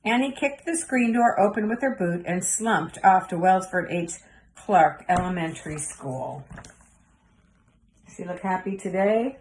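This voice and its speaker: A woman's voice: mid-range voice, unhurried, darkish tone, slight background noise, low-quality recording. A woman reading a storybook aloud for children, with chatty asides to young listeners. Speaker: storybook reader. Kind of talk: read-aloud narration for children.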